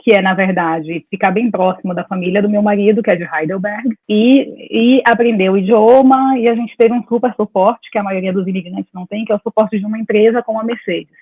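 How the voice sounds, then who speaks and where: A woman's voice: medium pitch, steady tone, fairly quick, few pauses, breathy edge, no room sound. A woman in her forties from Salvador, Brazil, a dentist talking as an interview guest over a video call, telling her story in a meandering, talkative way. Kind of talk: casual conversation over a call. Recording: dry, tinny phone-like call audio.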